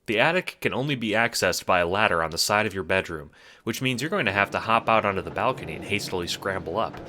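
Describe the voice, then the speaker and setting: A man's voice: on the low side, expressive, quick pace, noisy background. A man narrating an audio drama in the second person with a wry, theatrical, sardonic manner.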